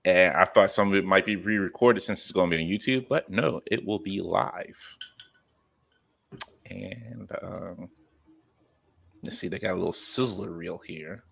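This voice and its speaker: A man's voice: low voice, a little slow, gravelly, pauses often, fairly bright tone, breathy edge. A man in his thirties hosting a podcast, chatting casually and telling jokes with quoted asides. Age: 30s. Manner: joking, storytelling with quoted asides. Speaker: podcast host. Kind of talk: casual chat.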